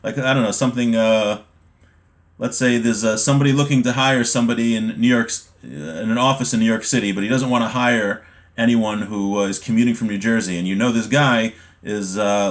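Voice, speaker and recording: An American man with a mid-range voice leads an informal online class. He explains in a conversational, step-by-step way, as a teacher working through a text. His delivery is unscripted and leans on everyday examples. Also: medium pitch. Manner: conversational, explanatory, checks in with "right?". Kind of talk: informal text study, reading aloud then explaining with examples.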